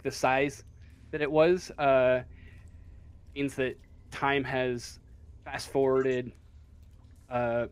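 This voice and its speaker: A man narrating a tabletop role-playing game as game master, in halting, hesitant fragments. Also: mid-range voice.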